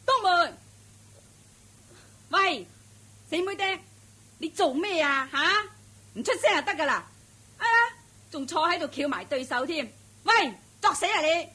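An actress playing a fussy, superstitious middle-aged maid in a Cantonese radio drama, scolding and easily flustered. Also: female voice, very high-pitched, expressive, a little slow, long pauses, pushed and bright, exaggerated voice.

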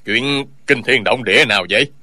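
A man's voice: mid-range voice, brisk, pushed and bright, no echo. An actor voicing a greedy, stubborn old man who quavers and wails as he clings to his treasured saber in an audiobook. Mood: fierce. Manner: quavering, wailing, grasping. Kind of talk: audiobook character dialogue.

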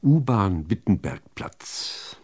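Slow, low-voiced man reciting poetry. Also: sing-song, darkish tone, breathy edge. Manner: measured, deliberate phrasing with dramatic pauses. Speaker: reader of poetry.

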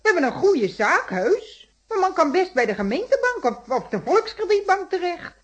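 An actress playing a blunt, indignant working-class shopkeeper's wife in a radio detective play, outspoken and scornful in colloquial Netherlands Dutch. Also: female voice, extremely high pitch, sing-song, normal speed.

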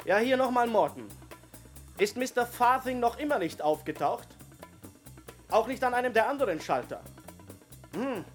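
A man's voice: very high voice, lively intonation, normal speed, pauses often. An actor playing an anxious businessman making an urgent, insistent phone call in a 1970s German radio crime play. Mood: worried, urgent. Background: music with drums.

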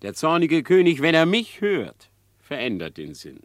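Cocky, animated outlaw fox. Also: male voice, on the high side, moderate pace. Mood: nonchalant. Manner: breezy, boastful. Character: cocky, unworried outlaw fox, uncle and husband. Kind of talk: theatrical radio verse drama.

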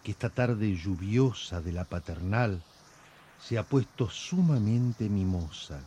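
A man playing the wry, suggestive storyteller of a radio serial, with theatrical, measured scripted narration. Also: lowish voice, lively intonation, mellow, a little hiss or hum. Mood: wry, dramatic.

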